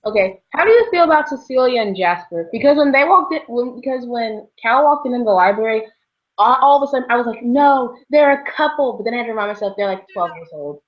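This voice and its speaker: A young woman with a fairly high voice co-hosts a casual book chat. She speaks informally, as if among friends. The recording is a little dull and lo-fi. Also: expressive, quick pace. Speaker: book discussion show co-host. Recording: dull, lo-fi sound.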